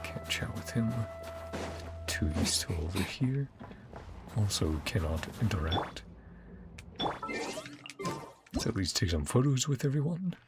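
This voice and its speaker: Casual male game streamer, animated range, creaky voice. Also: on the low side, normal speed, over loud music. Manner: muttering to himself while thinking. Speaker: streamer playing a video game. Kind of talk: casual gameplay commentary.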